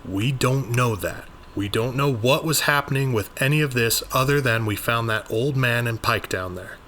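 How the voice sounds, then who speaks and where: A man's voice: medium pitch, moderate pace, fairly bright tone, slight background noise, close to an everyday voice. A man playing an earnest, pedantic occult investigator, narrating his journal in the first person. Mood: exasperated. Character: earnest, exasperated, bookish male occult investigator of 1920s.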